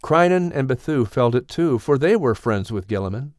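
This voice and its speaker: A man narrating an audiobook story in a solemn, gentle storytelling manner. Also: medium pitch, lively intonation, moderate pace, no echo, studio quality.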